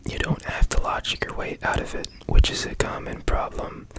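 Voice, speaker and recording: A man guiding a meditation in ASMR style, in a soft breathy whisper with gentle, soothing instruction, close to the microphone. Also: moderate pace. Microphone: close studio microphone.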